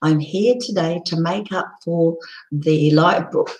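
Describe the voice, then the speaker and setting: A woman hosting a casual live broadcast, chatting loosely with many ums and restarts, her voice croaky and hoarse. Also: low-pitched, slow, a little mellow, roomy sound, poor audio. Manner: rambling, lots of ums and restarts. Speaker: live-stream host. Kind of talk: casual live-stream chat.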